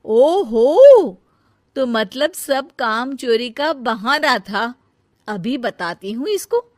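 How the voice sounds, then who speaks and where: A woman voicing a hardworking elder sister-in-law in a cartoon story, with an emphatic, sharp-tongued manner. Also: a little high, animated, very slow, no room sound, studio quality. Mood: indignant, scolding.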